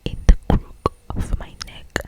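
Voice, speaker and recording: Asmr reader whispering a romance book really slow, all breathy, little asides here and there. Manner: slow, lingering phrasing with repeated words and asides. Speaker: ASMR creator reading aloud.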